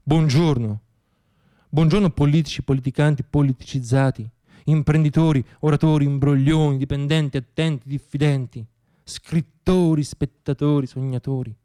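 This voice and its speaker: A man with a distinctly high voice delivers a theatrical monologue for radio. He recites like a stage storyteller, in rhythmic, poetic phrases, declaiming with deliberate dramatic pauses. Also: fairly even intonation, warm tone. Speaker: solo stage actor and storyteller.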